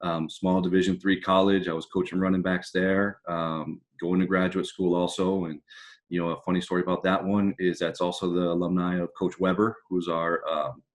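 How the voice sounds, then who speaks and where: An American man speaking as a football coach, answering interview questions in a casual, reflective and halting way. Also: low-pitched, little pitch movement. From California, United States.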